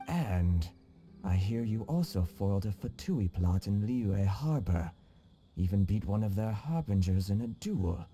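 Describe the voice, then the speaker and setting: A man voicing a genial, wily middle-aged nobleman with a courtly, unhurried manner in a video game cutscene. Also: on the low side, expressive, mellow, soft background music. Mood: flattering. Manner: courtly, unhurried, slyly affable.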